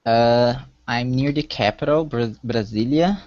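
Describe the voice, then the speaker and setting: A man's voice: medium pitch, unhurried, a little mellow, no room sound. A man from Brazil, a Portuguese speaker, an English student giving short laid-back answers on a video call. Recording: dull lo-fi video call audio.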